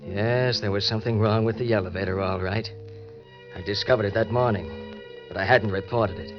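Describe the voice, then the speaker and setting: A low-pitched hard-boiled killer acted by a man from an old radio crime drama. He is cynical and edgy, talking in clipped slang. The sound is dull and vintage, with music in the mix. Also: steady tone, fairly quick, close to an everyday voice. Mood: cynical, tense. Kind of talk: old-time radio crime narration and acting.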